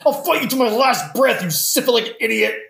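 A woman's voice: fairly high pitch, animated, a little fast, vocal fry, fairly bright tone. A woman playing a sarcastic, melodramatic young prince in a modern comedic audio play, with theatrical delivery. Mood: furious, grieving. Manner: theatrical, sardonic. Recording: roomy echo.

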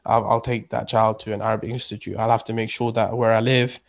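A man's voice: on the low side, little pitch movement, fast talker, vocal fry. A man talking casually as a guest, rambling with many restarts and fillers. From England. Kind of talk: casual conversation.

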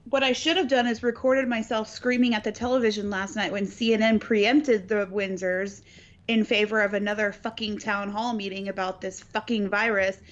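A woman's voice: medium pitch, steady tone, moderate pace, muffled recording. A woman co-hosting a podcast in casual, profane, joking chat, with a scratchy, partly lost voice. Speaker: podcast co-host.

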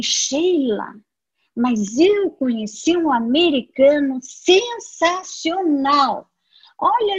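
A Brazilian woman with a Brazilian Portuguese accent gives a personal testimony to an audience, telling her story with animation and acting out the dialogue she quotes. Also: very high voice. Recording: dull lo-fi audio.

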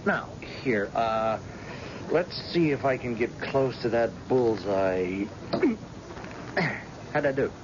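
A man's voice: mid-range voice, takes it slowly, long pauses, lightly acted. An actor playing a proud, jovial blind former policeman in old-time radio drama, with a folksy, hesitant manner. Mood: breezy, playful. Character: blind ex-police husband, proud, jovial, self-deprecating tough guy. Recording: dull, noisy old radio broadcast.

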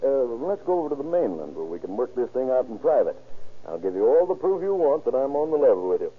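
An American actor playing a shady, persuasive swindler in a vintage radio crime drama, coaxing and evasive. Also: male voice, mid-range voice, very animated, fast talker, slightly rough. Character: shady, persuasive male swindler pitching a crooked scheme. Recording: scratchy, tinny old radio recording.

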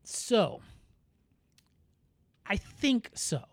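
A man with an American accent co-hosting a podcast, talking in a candid, reflective and measured way. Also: high voice, sing-song, vocal fry, no echo. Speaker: podcast co-host. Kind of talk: casual conversational podcast.

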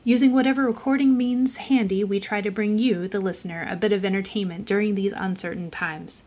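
A woman's voice: medium pitch, fairly even intonation, fairly quick, a little mellow. A woman announcer reading scripted credits for a radio drama.

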